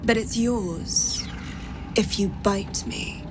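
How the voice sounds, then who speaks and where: Slow, haughty woman trader over loud music. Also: medium pitch. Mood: haughty, gratified. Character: haughty, covetous woman trader obsessed with being bitten. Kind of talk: video game cutscene acting.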